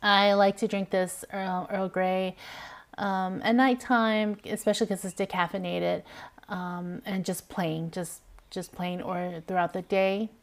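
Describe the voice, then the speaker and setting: A woman's voice: medium pitch, flat delivery, a little slow, creaky. A middle-aged woman in a home kitchen, chatting casually through her groceries while filming them herself, with lots of fillers and restarts. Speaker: vlogger. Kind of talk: casual grocery haul walkthrough.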